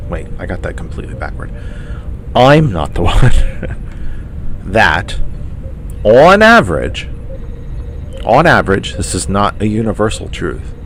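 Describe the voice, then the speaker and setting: A man's voice: on the low side, a bit bright, slight background noise. A middle-aged man hosting his own radio show, stuffed up with the sniffles, musing aloud and repeating himself with self-deprecating asides. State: stuffy nose. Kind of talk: casual spoken commentary.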